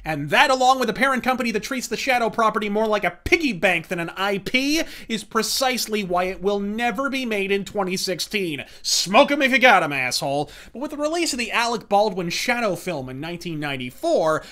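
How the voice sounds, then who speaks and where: A man's voice: high-pitched, pushed and bright. A man delivering scripted, profane commentary in a verbose, caustic and emphatic manner. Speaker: video essayist and reviewer.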